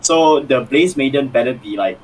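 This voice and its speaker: A man on a card game stream, talking in a lively, bouncing voice. He laughs easily and restarts his sentences as he goes. A faint hum of ambience sits underneath. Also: medium pitch, normal speed, poor audio. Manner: animated, laughing, many restarts. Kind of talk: casual chat.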